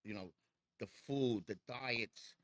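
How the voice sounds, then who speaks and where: A man's voice: medium pitch, takes it slowly, no echo. Blunt, swearing man in his sixties. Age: 60s. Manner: blunt, streetwise, swears freely; defiant, preachy toward critics. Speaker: custom chopper owner and builder. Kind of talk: casual interview chat.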